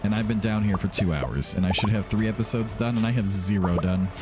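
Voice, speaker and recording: Male let's play host, slightly low pitch, casual and rambling, self-deprecating, music clearly audible underneath. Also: a little fast. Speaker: gaming YouTuber hosting a let's play. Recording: music behind the voice. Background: background music.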